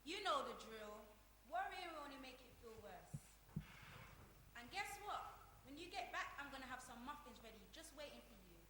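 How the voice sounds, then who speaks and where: A woman's voice: high voice, sing-song, normal speed. An actress playing a cheeky, outspoken young woman in a live family play. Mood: encouraging. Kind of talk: stage play acting, casual dialogue. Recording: echoey room, faint noise.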